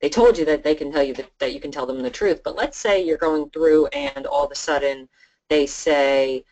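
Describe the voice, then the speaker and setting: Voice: medium pitch, normal speed, a little mellow, muffled recording. An insurance sales trainer talking informally on a conference call, acting out snippets of client dialogue. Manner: informal, chatty, role-plays client dialogue.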